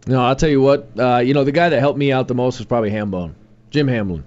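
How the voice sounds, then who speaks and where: A man's voice: medium pitch, quick pace, a little mellow, dull audio. A young man hosting sports talk, chatting casually with many fillers and restarts. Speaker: sports radio host.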